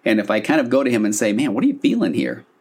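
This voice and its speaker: A man's voice: mid-range voice, sing-song, very fast, darkish tone, husky. A man talking informally and animatedly as a podcast host, explaining things like a couples therapist into a microphone. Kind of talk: conversational solo podcast explainer. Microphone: podcast microphone on a stand.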